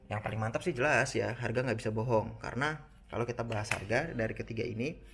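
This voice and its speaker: A man's voice: mid-range voice, fast, gravelly. A man with a Jakarta Indonesian accent giving an informal, conversational product review, explaining things casually to viewers. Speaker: motorcycle gear reviewer. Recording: music behind the voice. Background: background music.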